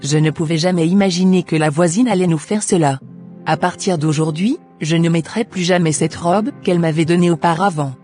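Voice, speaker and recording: A woman's voice: on the low side, a little fast, a little mellow, soft background music, close to an everyday voice. Devout cartoon daughter, voiced by a woman. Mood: astonished, thankful.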